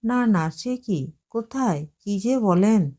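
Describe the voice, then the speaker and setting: A woman voicing a mild, reluctant gentleman. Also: mid-range voice, expressive, slow pace. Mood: polite. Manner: mild, hesitant politeness. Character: polite, reluctant male college teacher.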